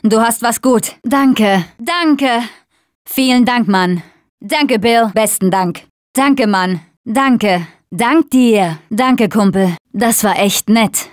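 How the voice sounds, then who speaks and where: A young woman voicing a tough video game survivor of a zombie apocalypse in short, casual, slangy, expressive lines. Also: fairly high pitch, slow, no echo, studio quality. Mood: grateful. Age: young adult.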